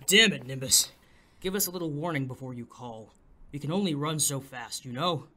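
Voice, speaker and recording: A man's voice: a little high, normal speed, pushed and bright, slight background noise. A man playing a weary, grumbling city police officer, dry and put-upon, in a comedic audio drama.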